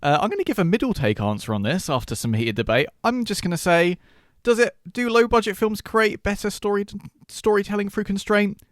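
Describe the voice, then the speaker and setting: Fast-talking man discussing films. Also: high voice, expressive, no echo, studio quality. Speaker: podcast host. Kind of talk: conversational film discussion.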